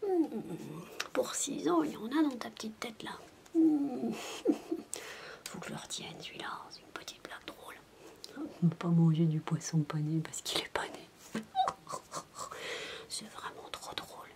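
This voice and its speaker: Casting: woman to play a madcap babysitter for soft ASMR roleplay, whispery, unhurried, higher-pitched, coaxing and chatty with a small child. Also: expressive, creaky. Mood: silly, amused. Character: zany playful babysitter entertaining a six-year-old child.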